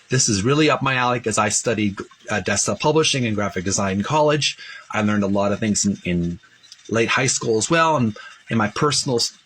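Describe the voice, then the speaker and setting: Husky, lively-voiced man reading aloud. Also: medium pitch. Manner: chatty and self-mocking, with frequent fillers. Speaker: channel host. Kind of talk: casual commentary and reading a book aloud.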